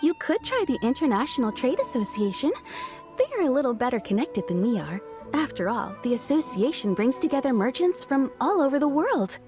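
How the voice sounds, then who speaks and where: A polite shopkeeper speaks in a high voice with plenty of rise and fall. She is formal, candid and businesslike, as in video game dialogue, with faint music behind her. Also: very animated, a little fast. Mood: helpful. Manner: courteous, explanatory, formal customer service. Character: polite, businesslike female kimono shop tailor, courteous and candid.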